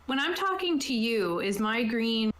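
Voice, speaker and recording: A woman's voice: on the high side, sing-song, unhurried, a bit bright, roomy sound. A woman prepping a tabletop game online, reading rules aloud and explaining them in a chatty, casual way. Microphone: own microphone on an online voice call.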